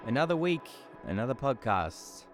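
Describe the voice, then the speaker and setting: A man's voice: medium pitch, very animated, normal speed, over music. A man in his twenties hosting a solo motivational podcast. He talks in a casual, chatty, blunt way and swears freely. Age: 20s. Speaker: podcast host.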